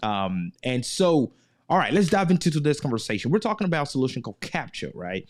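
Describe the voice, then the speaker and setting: A man's voice: mid-range voice, big pitch swings, fast talker. A man hosting an interview show, upbeat and encouraging, often ending his points with a tag question. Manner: enthusiastic, frequent tag questions. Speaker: podcast host.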